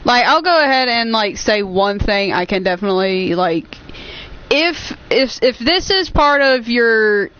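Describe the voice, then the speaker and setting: A woman's voice: a little high, expressive, a little slow, fairly bright tone, highs cut off. A nineteen-year-old woman chatting casually as a gaming streamer, rambling along in an earnest, reassuring way. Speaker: gaming YouTuber hosting a stream. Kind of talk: casual chat over gameplay.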